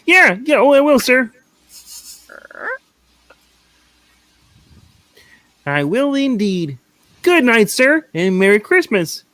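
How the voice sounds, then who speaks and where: A man performing a put-upon clerk in a radio play, eager to please and timid. The voice is bright and swings widely, always deferential and jumpy. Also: very high voice, very animated, measured pace, no echo, heavily acted. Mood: cheerful. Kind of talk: theatrical radio play reading.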